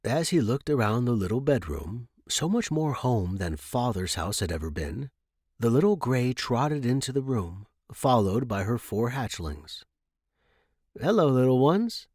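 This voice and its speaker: A man's voice: medium pitch, animated, a little slow, darkish tone, breathy edge, no room sound. Man narrating fiction in a dry, close recording. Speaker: narrator reading a short story.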